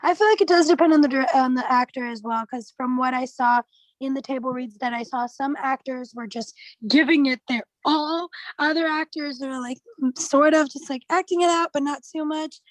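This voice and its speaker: A woman's voice: high-pitched, moderate pace, no echo, poor audio. A woman leading a casual group discussion, thinking aloud in a tentative way. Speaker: study group discussion leader.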